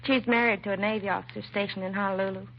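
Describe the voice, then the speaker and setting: An American actress playing a timid, self-doubting young secretary in a 1950s radio drama, hesitant and apologetic. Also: female voice, mid-range voice, steady tone, rapid-fire, close to an everyday voice. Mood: earnest, hesitant. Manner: hesitant, nervous, apologetic, trailing and restarting. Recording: muffled vintage radio recording, faint hiss.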